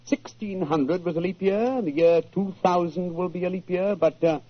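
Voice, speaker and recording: An actor playing a composed, precise, commanding British gentleman detective in 1940s radio style. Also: high voice, fairly even intonation, normal speed. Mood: matter-of-fact. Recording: muffled old-time radio recording.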